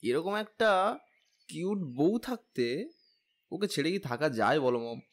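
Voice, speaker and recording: A lovestruck young husband played by a man in a romantic audio drama. His voice is fairly high and moves up and down a lot. He is cheeky, stubborn and playful, always ready to plead. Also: animated, slow, heavily acted. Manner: pleading, playful, whiny with elders. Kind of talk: Bengali audio drama acting.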